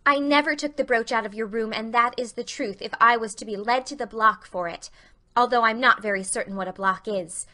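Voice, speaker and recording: A woman's voice: fairly high pitch, brisk, bright, forceful tone. A woman voicing an imaginative, talkative little girl in an audiobook, eager and earnest. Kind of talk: audiobook character reading.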